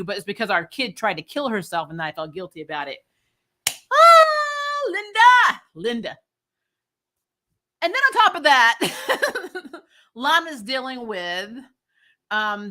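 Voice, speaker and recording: A woman hosting a recap show, giving casual, opinionated TV review chat in an animated, blunt, exasperated way with plenty of swearing. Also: medium pitch. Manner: animated, exasperated, blunt and profane, mock-scolding; laughs often while talking.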